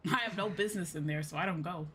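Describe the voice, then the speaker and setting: A woman's voice: lowish voice, quick pace, fairly bright tone, a little hiss or hum. A woman streaming a video game with casual, reactive commentary, sassy and salty with her swearing. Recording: streamer voice over faint game music.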